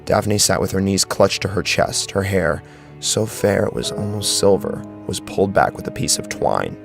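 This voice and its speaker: Male horror narrator: low, gravelly, dramatic and animated, faint music bed. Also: moderate pace.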